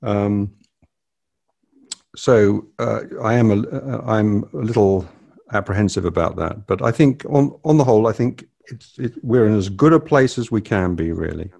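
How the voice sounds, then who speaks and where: A man's voice: lowish voice, normal speed, vocal fry, darkish tone. An older man hosting a casual family podcast, rambling and hesitant with many fillers. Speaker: podcast host.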